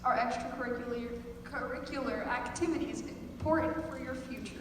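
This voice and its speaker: Young female student for a comic stage monologue, high voice, captured from far off in a reverberant, noisy theater. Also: normal speed. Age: teen or young adult student. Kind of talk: performed comic monologue to an audience. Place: school theater stage, live audience. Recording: echoey hall, far from mic, noisy.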